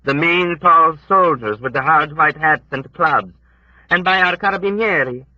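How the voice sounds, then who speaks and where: A man voicing a grieving old woman who wails and laments in a high, drawn-out keen, on a dull cassette audiobook. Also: pushed and bright, dry recording. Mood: lamenting. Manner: high keening wail, drawn out.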